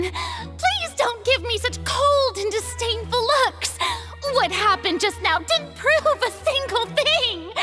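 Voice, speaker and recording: A woman's voice: extremely high pitch, full character voice. A woman voicing a desperate, theatrical young woman in a video game, pleading melodramatically that she be believed, with music behind her. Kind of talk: dramatic video game acting.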